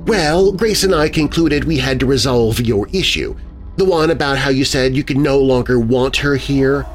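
A man's voice: medium pitch, normal speed, faint music behind, pitched above the performer's own voice, lightly acted. A man voicing a coldly deranged wife who soothes and sneers with hissing menace in a horror story. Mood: calm menace.

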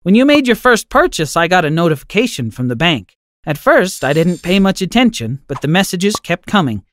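A man voicing a kind, gentle elderly grandmother who speaks softly and soothingly in an animated story. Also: high-pitched, a little fast, no room sound, voice pushed up. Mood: reassuring. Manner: soft, soothing and forgiving.

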